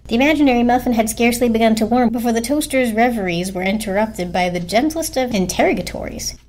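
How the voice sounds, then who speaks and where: A woman's voice: on the high side, fast talker, a little mellow. A woman reading a storybook aloud as a dramatic narrator.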